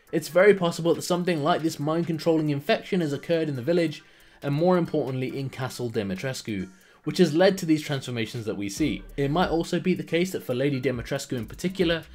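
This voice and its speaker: A man with a fairly high voice presents a scripted gaming video essay. He speaks as an enthusiastic channel host with emphatic phrasing, over background music. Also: darkish tone.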